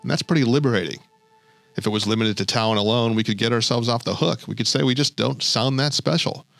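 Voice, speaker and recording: A man's voice: medium pitch, fast talker, vocal fry, a bit bright, dry recording, faint music behind. A male podcast host narrating in a light, wry storytelling way between interview clips. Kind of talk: scripted narration.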